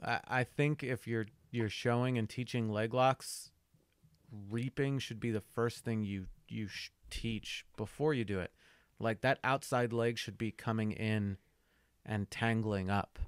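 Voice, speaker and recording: A man co-hosting a podcast in a lowish, smooth voice. He swears casually and trades teasing remarks. The sound is dry and close to the mic. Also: steady tone, a little slow, dry recording. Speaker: podcast co-host.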